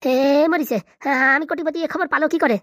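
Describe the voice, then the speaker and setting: A man's voice: very high voice, quick pace, sharp tone, no echo, studio quality, full character voice. A man voicing an anxious, reluctant young husband in a comedy cartoon, fretful and evasive with his father-in-law. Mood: worried.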